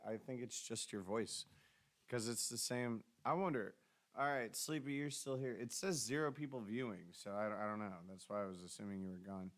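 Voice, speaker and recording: A man with a deep, bassy voice, co-hosting a casual stream chat in a loose, joking way. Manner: loose, joking, talks about mic setup. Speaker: stream co-host.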